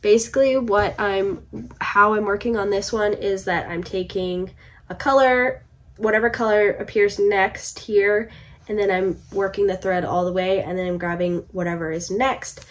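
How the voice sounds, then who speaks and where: A woman's voice: mid-range voice, steady tone, moderate pace. A young woman with a congested, stuffy voice, chatting casually about her cross stitch projects from a home room, with lots of fillers and restarts. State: congested from a cold. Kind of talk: casual chat to camera.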